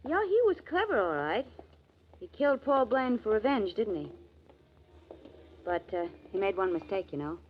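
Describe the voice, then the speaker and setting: A woman's voice: mid-range voice, big pitch swings, moderate pace, long pauses, lightly acted. An actress playing a detective's curious, teasing girlfriend in a 1940s radio drama. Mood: knowing. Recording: lo-fi 1940s radio recording with faint hiss.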